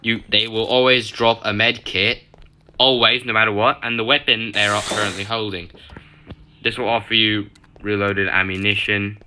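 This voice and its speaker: Guy with a croaky half-gone voice, kinda low, rambling game tutorial, keeps correcting himself. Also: level tone, normal speed, bright, forceful tone, a little hiss or hum. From United Kingdom. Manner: rambling, self-correcting, chatty asides. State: partly lost voice, throat-clearing. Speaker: gaming channel host. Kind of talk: casual game tutorial commentary.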